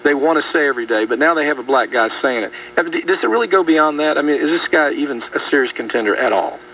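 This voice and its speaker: Brief: male talk radio host, fairly high, bright voice, indignant, restarts a lot, muffled distant radio replay. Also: expressive, fast. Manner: indignant, rambling with frequent restarts. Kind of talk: political talk radio discussion. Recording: distant, muffled radio rebroadcast with faint hum.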